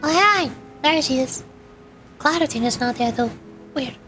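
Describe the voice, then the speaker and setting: A woman streaming a game playthrough, chatting casually and reading the game's dialogue aloud in a flat, steady way. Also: high-pitched, measured pace, soft background music.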